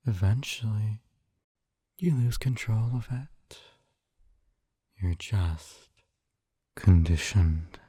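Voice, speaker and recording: A man playing a possessive, smugly tender hypnotist captor in an intimate ASMR roleplay, coaxing and teasing in a soft hushed voice that drifts into whispers. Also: fairly low pitch, breathy edge, dry recording, studio quality, full character voice.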